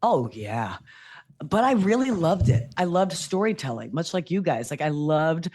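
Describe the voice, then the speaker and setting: A woman with a low voice, a podcast guest telling her story candidly in casual chat. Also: normal speed, husky. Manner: candid storytelling, animated quoting.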